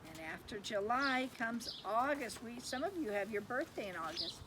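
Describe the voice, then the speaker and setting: A woman's voice: a little high, expressive, moderate pace. A woman teacher reading a picture book to children expressively, adding explanations and questions for them. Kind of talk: storytime read-aloud with asides to children. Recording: heavy background noise.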